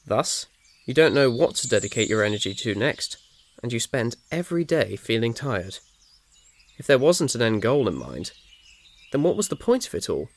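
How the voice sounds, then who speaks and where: A man's voice: medium pitch, big pitch swings, normal speed. A man reading a scripted second-person ASMR story as its narrator. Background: birdsong, crickets and faint noise.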